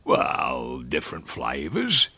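A man voicing a wicked, droll old country uncle with a rural English accent, gruff and fruity, spinning tall tales in a storybook reading. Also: mid-range voice, wildly swooping pitch, fairly bright tone, no room sound, heavily acted. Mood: boastful. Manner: sly, drawn-out dialect storytelling.

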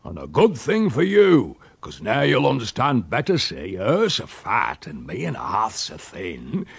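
A man voicing a wicked, droll old country uncle with a rural English accent, gruff and fruity, spinning tall tales in a storybook reading. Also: on the low side, wildly swooping pitch, no echo, exaggerated voice. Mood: aggrieved. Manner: sly, drawn-out dialect storytelling.

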